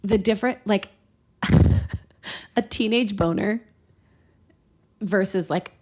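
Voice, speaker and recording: A woman's voice: medium pitch, takes it slowly, a little mellow. A woman hosting a podcast, reading fan fiction aloud and breaking off into giggly, irreverent, conversational asides. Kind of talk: storybook-style reading mixed with casual banter.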